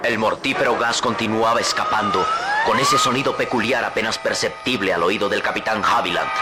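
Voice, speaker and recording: A man narrating an old radio drama in a grave, suspenseful tone. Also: medium pitch.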